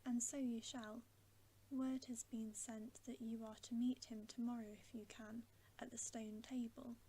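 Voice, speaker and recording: Woman reading as an earnest, hushed male beaver. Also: on the high side, little pitch movement, unhurried, slightly rough, low-quality recording. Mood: emphatic, assuring. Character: stern, earnest male talking beaver, loyal guide. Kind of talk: whispered storybook character reading.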